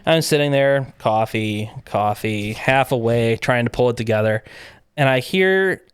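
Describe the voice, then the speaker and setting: A man's voice: medium pitch, measured pace, clear, resonant tone, no room sound, clean studio sound. A man guesting on a podcast, telling event stories in a casual chat and acting out the back-and-forth as he goes. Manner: lively anecdotes, acting out quoted exchanges with laughs.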